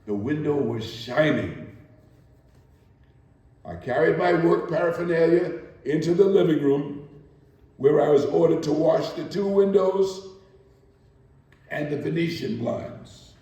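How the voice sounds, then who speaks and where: A man giving a theatrical first-person dramatic reading, deliberate and emphatic with dramatic pauses, recorded far from the mic in an echoey room. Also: a little high, warm tone, lo-fi sound. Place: live performance in reverberant room.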